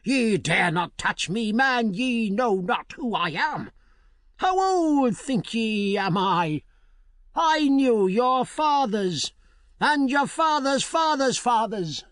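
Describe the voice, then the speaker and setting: A man voicing a shrill cackling ancient witch. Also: fairly bright tone, no room sound, exaggerated voice. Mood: furious. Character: ancient wicked witch woman, shrieking and cackling.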